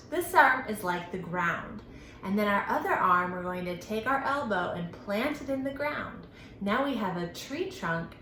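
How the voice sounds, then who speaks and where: Woman, children's storytime host, unhurried pace, careful instructional delivery, bright forceful voice, faint background noise. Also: on the high side, roomy sound, rough recording. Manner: animated, sing-song, instructional, addressing young children.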